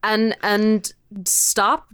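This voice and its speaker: A woman's voice: mid-range voice, steady tone, drawn-out words, a bit bright, clean tone, no room sound, clean studio sound. A woman chatting casually as a podcast guest, ranting in a sweary, exasperated way. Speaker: podcast interview guest, audio fiction creator. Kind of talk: casual animated interview chat.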